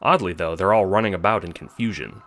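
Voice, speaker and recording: A man's voice: low-pitched, quick pace, fairly bright tone. A man narrating a scripted audio drama in the second person as its storyteller.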